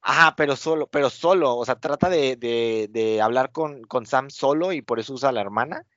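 A man's voice: mid-range voice, moderate pace, fairly bright tone, no room sound. A man, casually recapping a TV episode as co-host, rambling with many fillers. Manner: rambling, many fillers, acts out quotes with laughs. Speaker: podcast co-host. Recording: dull lo-fi sound.

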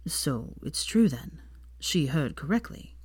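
A woman puts on a reserved young wizard for a fantasy audiobook. He is hesitant and soft-spoken, mumbling in a lowered pitch. The sound is dry and close. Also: very animated, takes it slowly, slightly rough, no room sound, full character voice. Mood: weak, anxious. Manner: quiet, mumbled, tentative.

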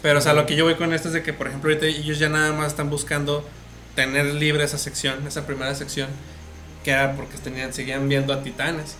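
A man's voice: a little high, completely flat delivery, quick pace, bright, forceful tone, a little hiss or hum. A man with a Mexican accent chatting casually and explaining things at length, often restarting his sentences. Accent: Mexican Spanish. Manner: explanatory, stumbles and restarts while building a point. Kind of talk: casual chat about an anime series.

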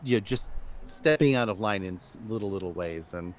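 A man co-hosting a podcast, who chats in a relaxed way with plenty of fillers and a few laughs. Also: lowish voice, brisk, slight background noise. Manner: relaxed, chatty, many fillers. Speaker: podcast co-host. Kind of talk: casual conversation.

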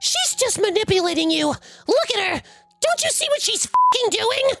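Spiteful storytime mother: very high, shrill, swooping accusations over background music. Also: very animated, pushed and bright, full character voice. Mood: bitter, accusing. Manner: shrill, drawn-out scolding. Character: enraged, spiteful, accusing mother berating her child. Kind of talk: acted storytime dialogue.

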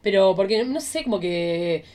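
A woman's voice: medium pitch, lively intonation, moderate pace, dark tone. A woman with an Argentine accent in casual chat, making informal, joking comments.

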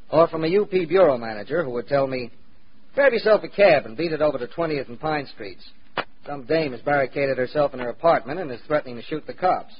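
A man's voice: on the high side, close to an everyday voice. Man acting an eager American radio war correspondent. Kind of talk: 1940s radio drama narration. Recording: dull, noisy old 1940s radio recording.

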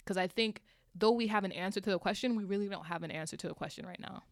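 A woman's voice: mid-range voice, quick pace, no echo, studio quality. A woman thinking aloud in a casual group discussion, hesitant and thoughtful. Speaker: discussion participant.

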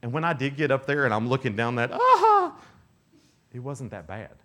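A man's voice: mid-range voice, normal speed. A young man giving a casual, joking sermon to teenagers at a youth camp, often acting out little voices. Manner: animated, joking, acts out quoted voices.

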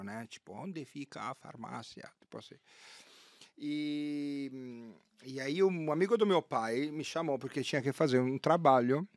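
Halting man telling a funny anecdote. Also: medium pitch, fairly bright tone, dry recording, studio quality. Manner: halting, restarts, laughs as he tells it. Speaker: guest. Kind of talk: casual conversation, anecdote.

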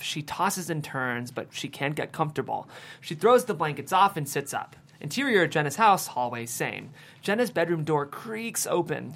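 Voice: mid-range voice, fairly bright tone. A narrator reading the stage directions of a screenplay at a table read.

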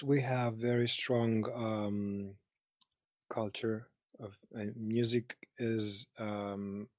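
A man's voice: mid-range voice, darkish tone, dry recording, studio quality. A man in his thirties from Greece with a Greek accent, talking casually as a guest and searching for words in his second language. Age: 30s. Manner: halting, searching for words in non-native English. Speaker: interview guest. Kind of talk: casual personal conversation.

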